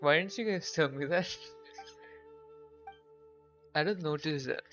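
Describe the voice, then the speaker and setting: A man's voice: fairly high pitch, slow pace, a bit bright, soft background music. A man hosting a casual gaming livestream, chatting with viewers in a half-distracted way. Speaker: game streamer, host. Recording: dull, lo-fi microphone sound.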